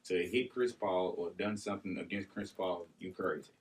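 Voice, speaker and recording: A man's voice: sits low, moderate pace. A man co-hosting a casual sports chat, chiming in with short, slangy remarks. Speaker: podcast co-host, coach. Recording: slightly distant from the mic.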